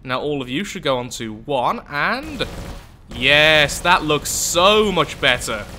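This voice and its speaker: Nasal young man with a bright voice. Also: on the high side, big pitch swings, slow, slight background noise. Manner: chatty, self-mocking, thinking aloud. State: nasal from the flu. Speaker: gameplay commentator. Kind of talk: let's play commentary.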